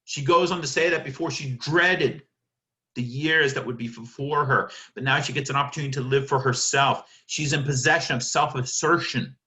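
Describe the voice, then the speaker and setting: Male literature lecturer. Sharp, bright delivery, emphatic and theatrical, asks and answers his own questions. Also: mid-range voice, normal speed. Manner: emphatic, dramatic, rhetorical questions answered by himself.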